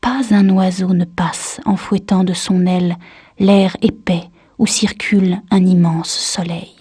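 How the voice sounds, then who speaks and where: A woman reciting a literary poem in a measured, solemn manner. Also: fairly low pitch, steady tone, mellow, breathy edge, no room sound, studio quality. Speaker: poetry reader.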